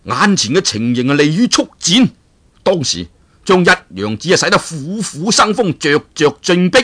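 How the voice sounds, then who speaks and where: A man from Hong Kong telling a martial arts tale in a dramatic, animated storyteller's manner. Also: fairly high pitch, moderate pace. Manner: animated, dramatic, chuckling asides. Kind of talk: traditional Cantonese storytelling of a martial arts novel.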